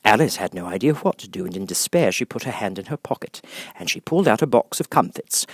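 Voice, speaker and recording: A man's voice: lowish voice, lively intonation, fast talker, no echo, studio quality. A man reading scripted storybook narration as an audiobook narrator.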